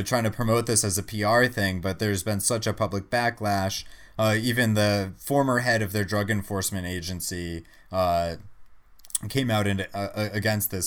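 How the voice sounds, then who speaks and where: A man's voice: fairly low pitch, fairly bright tone, clean tone. A man co-hosting a podcast, giving casual news commentary in a conversational, restarting way. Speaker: podcast co-host.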